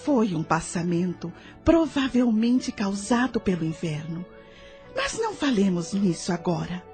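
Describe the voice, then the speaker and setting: A woman playing a gentle spirit caretaker in an audio drama, calm and tender, with a soft, velvety voice. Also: mid-range voice, unhurried, faint music behind. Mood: patient, guiding. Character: gentle, soothing female spirit caretaker of the newly dead.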